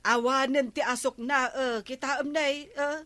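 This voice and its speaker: A woman's voice: high voice, steady tone, unhurried, fairly bright tone, dry recording. A woman playing a nagging but level-headed villager in a radio comedy drama, speaking with an Ilocano Filipino accent. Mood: reassuring, curious.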